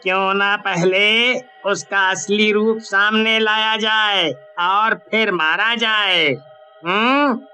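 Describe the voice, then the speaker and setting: A man's voice: high-pitched, fairly even intonation, slow pace, pushed and bright, smooth, faint music behind, heavily acted. An actor playing a doubting, questioning village man in a horror story. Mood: suspicious. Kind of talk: animated horror story dialogue.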